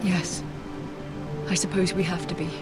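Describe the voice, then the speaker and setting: An actress playing a dignified middle-aged noble widow and mother in a fantasy game, mourning in a measured, formal way. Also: lowish voice, level tone, over loud music, close to an everyday voice. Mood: resigned. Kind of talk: dramatic video game voice acting. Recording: clear windy ambient noise.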